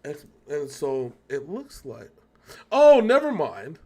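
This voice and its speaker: A man's voice: on the high side, wildly swooping pitch, takes it slowly, a little mellow. A man hosting a casual music reaction chat, rambling and often correcting himself as he thinks aloud. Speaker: reaction show host.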